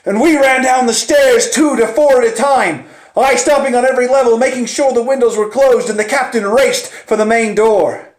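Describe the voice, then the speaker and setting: A man telling a suspense story in the first person, theatrical and deliberate, with dramatic pauses and swings between hushed and urgent delivery. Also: extremely high pitch, fairly even intonation. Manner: theatrical, swinging from hushed near-whispers to loud urgent peaks. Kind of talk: dramatic live oral storytelling of a suspense tale. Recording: roomy, echoing space.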